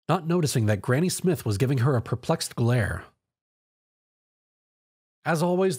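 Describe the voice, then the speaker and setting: A man's voice: fairly high pitch, a little mellow, husky. A man narrating a scripted story as a dramatic reader. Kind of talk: scripted narration, dramatic fan fiction reading.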